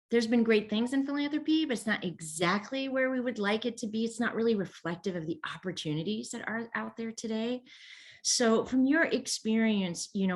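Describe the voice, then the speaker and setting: A woman in her thirties hosting an interview show, enthusiastic and upbeat, speaking over a video call. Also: mid-range voice. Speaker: podcast host. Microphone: video call laptop mic.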